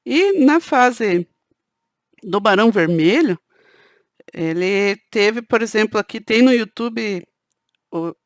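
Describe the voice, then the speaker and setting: A woman with a southern Brazilian caipira lilt, speaking in a relaxed, chatty way straight to her viewers. Her voice sits at an ordinary middle pitch. The sound is close and dry, with no room echo. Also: slow pace, pauses often. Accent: Brazilian Portuguese, southern countryside (caipira). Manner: conversational, peppers sentences with "né". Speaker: channel host. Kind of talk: casual talk to camera.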